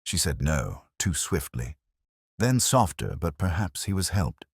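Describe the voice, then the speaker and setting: A man narrating a scripted first-person detective story in measured, literary phrasing. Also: low-pitched, sing-song, husky, no echo, clean studio sound.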